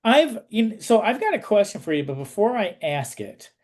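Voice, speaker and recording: A man with a somewhat high voice speaks as an interviewer. He puts questions and adds his own comments in a casual, conversational style. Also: big pitch swings, moderate pace, darkish tone.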